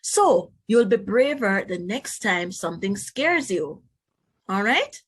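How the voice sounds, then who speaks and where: A woman teacher reading a children's book aloud, pausing to explain and put questions to young listeners. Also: mid-range voice, very animated, breathy edge.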